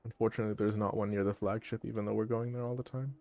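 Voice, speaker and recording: A man's voice: on the low side, little pitch movement, very fast, vocal fry, dark tone. A man giving casual let's play commentary, thinking aloud as he goes. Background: game music.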